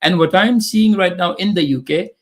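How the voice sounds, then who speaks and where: A man's voice: high-pitched, normal speed. A man from Pakistan, whose first language is Urdu, speaking in emphatic, opinionated casual talk and often repeating phrases. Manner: emphatic, repeats phrases, acts out quoted voices.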